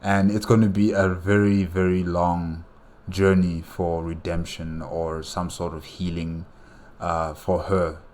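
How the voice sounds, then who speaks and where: A man with a low voice talks through his reactions as a casual video host. He speaks off the cuff, pausing to think and circling back over phrases as he muses. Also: a little mellow. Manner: hesitant, musing, repeats phrases.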